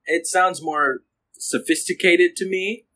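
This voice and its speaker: A man's voice: sits high, takes it slowly, pushed and bright. A young man co-hosting a podcast in casual chat, playful and laughing often. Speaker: podcast co-host. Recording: poor audio quality.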